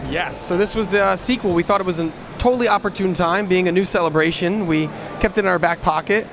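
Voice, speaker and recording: A man giving casual, explanatory interview answers as a creator, with small halting pauses. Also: sits high, music in the background. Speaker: radio play creator and director. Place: live convention event, microphone. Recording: clear background noise of convention.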